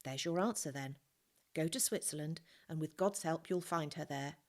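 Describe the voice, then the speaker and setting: A woman reading aloud, voicing a caring older woman who gently urges a grieving man. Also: deep voice, moderate pace, close to an everyday voice. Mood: urging kindly.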